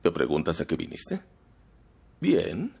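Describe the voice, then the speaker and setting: Un actor de doblaje que interpreta a un empresario poderoso de mediana edad, calculador y coercitivo, que habla con cortesía medida y presiona. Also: male voice, low-pitched, wildly swooping pitch, slow pace, gravelly, warm tone, husky, full character voice. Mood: calculating, firm. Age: middle-aged. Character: middle-aged powerful businessman, smooth-talking, calculating and coercive. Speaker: dubbing actor in a TV drama. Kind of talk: dubbed television drama dialogue.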